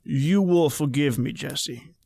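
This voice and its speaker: An old German father in a radio horror play, his voice creaky and fairly high for a man. He speaks slowly and haltingly, hospitable yet guarded, trailing into little questioning tags. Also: dark tone, exaggerated voice. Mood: guarded, cordial. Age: older. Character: secretive, hospitable old European father living alone. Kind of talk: old-time radio horror drama acting.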